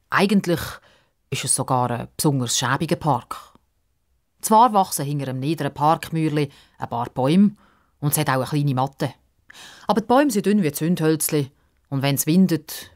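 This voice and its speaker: A woman's voice: low-pitched, a little mellow. A woman with a Swiss accent telling a scripted children's story expressively.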